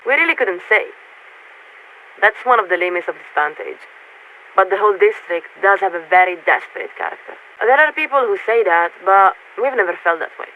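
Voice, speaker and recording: A woman playing a polite, detached warship officer in a video game, speaking formally and with measured hesitation through a radio filter. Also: mid-range voice, lively intonation. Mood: wistful, detached. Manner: formal, measured, hesitant when searching for words. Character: polite, detached female warship crew officer surveying a city. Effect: military radio transmission filter. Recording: tinny narrowband radio with hiss.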